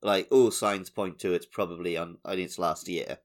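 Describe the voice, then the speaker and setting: A man's voice: low voice, fairly even intonation, normal speed. A man co-hosting a gaming podcast, chatting casually with a wry, conversational manner. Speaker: podcast co-host.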